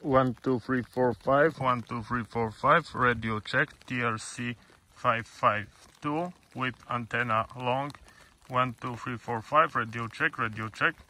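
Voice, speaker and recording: A man's voice: mid-range voice, a little slow, bright, forceful tone. A man making radio test calls outdoors, in a clipped, repetitive counting delivery. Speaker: radio hobbyist testing equipment. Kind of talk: radio check test call. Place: outdoors in grass and woods.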